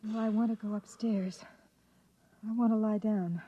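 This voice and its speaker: An actress playing a frightened, fragile young wife, anxious, pleading and overwrought, in 1950s radio drama style. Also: female voice, medium pitch, steady tone, moderate pace, warm tone, dry recording, close to an everyday voice. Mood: uneasy. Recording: muffled, noisy vintage radio recording.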